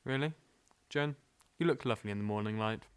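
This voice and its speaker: A young man plays a romantic suitor in an audio drama, with a smooth, clear voice. He is poetic, charming and smitten. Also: fairly low pitch, brisk, lightly acted. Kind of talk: audio play acting.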